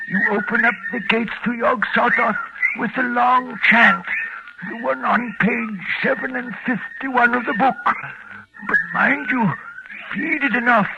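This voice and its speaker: A very high, croaking old backwoods wizard on his deathbed. He gasps crafty, urgent warnings in vintage radio horror style, through loud recording noise. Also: a little slow, muffled recording, heavily acted. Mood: urgent, failing. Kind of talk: 1940s radio horror acting. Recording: noisy band-limited 1940s radio recording.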